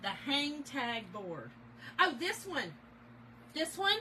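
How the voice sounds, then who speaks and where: A middle-aged woman hosts a craft livestream from her home office, her voice a bit hoarse. The tone is very bright and fairly high, and the chatty, drawling talk runs over a faint hiss of room noise. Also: sing-song, slow pace. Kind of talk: casual livestream chat greeting viewers.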